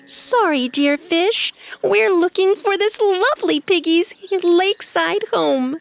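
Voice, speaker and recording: A woman voicing a kind, reassuring young princess in an animated fairy tale. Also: very high voice, slow, creaky, soft background music, voice pushed up, exaggerated voice. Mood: polite. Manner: gentle, reassuring.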